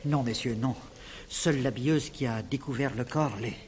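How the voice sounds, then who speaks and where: An actor playing an anxious, fussy music-hall director, formal, polite and emphatic in a theatrical comedy style. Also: male voice, mid-range voice, normal speed, a little mellow, natural voice. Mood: fussy, worried. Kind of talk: 1970s French stage comedy acting. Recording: noisy old theatre recording.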